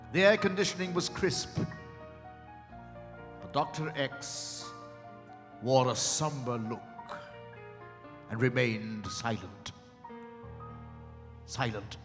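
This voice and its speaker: A man playing a genteel narrator telling his own story in a dramatized reading, measured and savoring each detail. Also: medium pitch, natural voice. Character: genteel man recounting a tense visit. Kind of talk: dramatized first-person storytelling. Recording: hall reverb with clear music behind.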